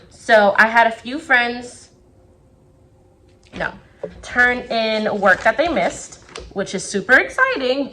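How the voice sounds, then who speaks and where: A young woman teacher vlogs from her classroom in a chatty, rambling way, jumping between asides. Her voice is bright and forward, with a slight rasp as it comes back. A faint hiss sits under the recording. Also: a little high. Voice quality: slightly raspy. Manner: chatty, quick asides, self-interrupting. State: recovering voice. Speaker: second grade teacher. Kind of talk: casual classroom vlog.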